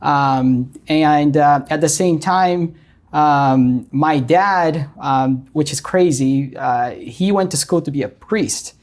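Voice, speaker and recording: Brief: middle-aged Brazilian man, Portuguese-accented English, earnest guest, fairly high voice, lots of ums. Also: takes it slowly. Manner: frequent ums and uhs, earnest. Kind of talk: casual interview storytelling.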